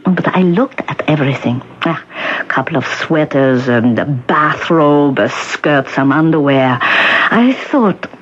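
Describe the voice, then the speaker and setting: A woman's voice: deep voice, animated, measured pace. An actress in an old radio drama playing a kindly, bustling middle-aged woman shopkeeper who talks in a homely, emphatic way. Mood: chatty, earnest. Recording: muffled, hissy 1970s radio broadcast recording.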